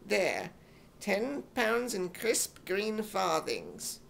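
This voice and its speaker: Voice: mid-range voice, huge pitch swings, extremely slow, creaky, a bit bright, full character voice. A performer voicing a frail, fussy, miserly old male pawnbroker with an imitation British accent, in a radio comedy. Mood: doddering.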